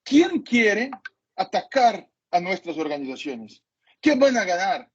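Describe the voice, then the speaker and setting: Husky man lecturing in halting Spanish. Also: sits high, very animated. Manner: hesitant, apologizes for his Spanish. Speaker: cybersecurity lecturer. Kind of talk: masterclass lecture. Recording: dull lo-fi recording.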